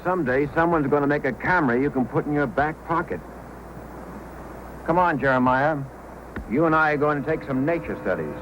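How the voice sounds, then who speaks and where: An actor playing an earnest, hapless, self-doubting newspaper photographer in an old-time radio drama. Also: male voice, fairly high pitch, lively intonation, a little fast, close to an everyday voice. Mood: musing. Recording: noisy old radio recording.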